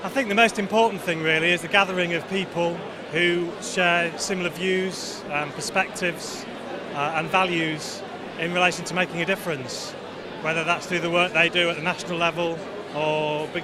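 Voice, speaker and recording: A man who works in campaigning and advocacy, speaking in a measured, thoughtful way in a vox pop interview. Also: on the high side, steady tone, crowd noise.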